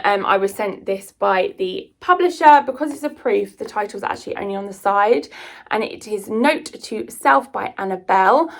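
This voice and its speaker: A woman's voice: medium pitch, a bit bright. Chatty woman in a casual book vlog. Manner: chatty, informal, enthusiastic. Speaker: author and book vlogger. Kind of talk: casual book chat to camera.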